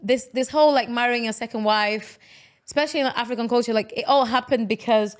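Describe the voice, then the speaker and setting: A young woman co-hosting a casual podcast chat, agreeable and quick with backchannel yeahs. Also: fairly high pitch. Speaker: podcast co-host.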